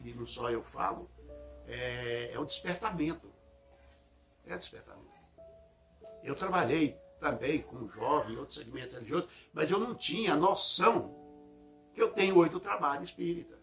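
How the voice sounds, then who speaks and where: A Brazilian man speaks to a Spiritist gathering in a slightly high voice, with music faintly present. He lectures in a reflective, conversational way, repeating key phrases and tossing in small questions to check he is understood. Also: fairly bright tone. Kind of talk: religious public lecture.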